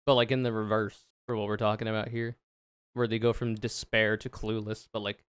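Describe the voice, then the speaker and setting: A man with a deep, smooth voice, reacting to a video in frank, casual commentary that is full of swearing. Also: flat delivery, brisk, no room sound. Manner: frank, swears freely, thinks aloud.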